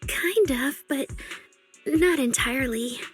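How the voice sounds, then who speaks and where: Young android idol girl for a game: very high voice, earnest, anxious, hesitant, music underneath. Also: takes it slowly. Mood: reassuring. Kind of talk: scripted video game voice acting.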